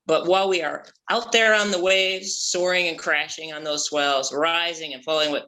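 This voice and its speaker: Someone reading as stubborn shark hunter captain, bossy n sarcastic, folksy, sharp bright voice. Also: medium pitch, fairly even intonation, moderate pace, rough recording. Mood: blustering. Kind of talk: amateur script table read.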